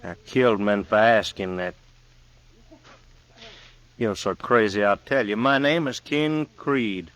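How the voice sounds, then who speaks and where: Arrogant, lowish-voiced western gunslinger. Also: male voice, slow pace, pauses often, a bit bright. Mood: sneering menace. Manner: curt, sneering, commanding. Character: arrogant, threatening Old West gunslinger, short-tempered. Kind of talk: old-time radio western acting.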